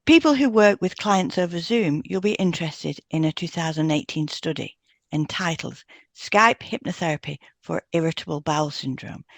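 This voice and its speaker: A woman co-hosting a health podcast, reading scripted material in a measured, explanatory way and carefully sounding out technical terms. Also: deep voice, no echo, clean studio sound. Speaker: podcast co-host and hypnotherapist. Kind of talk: scripted educational narration.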